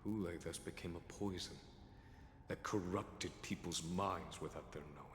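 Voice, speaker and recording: A man's voice: slight background noise, natural voice. A man playing a composed, commanding general in a video game, with solemn delivery and echoing sound. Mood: grave, measured. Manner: measured, solemn exposition, booming battle cries. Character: composed male general warrior, grave, commanding in battle. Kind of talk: scripted video game voice acting. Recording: echoey hall reverb, music underneath.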